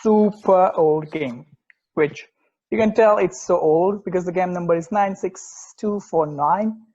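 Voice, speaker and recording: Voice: mid-range voice, a little slow, a little mellow, lo-fi sound, close to an everyday voice. A performer playing an enthusiastic, snarky sports podcast co-host in a casual table read. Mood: casual storytelling.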